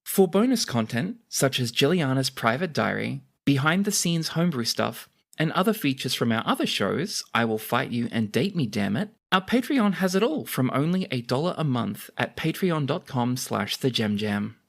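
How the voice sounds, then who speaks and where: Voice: mid-range voice, big pitch swings, moderate pace, creaky, few pauses. A game master and host narrating a tabletop roleplaying podcast in a descriptive way, sometimes self-correcting.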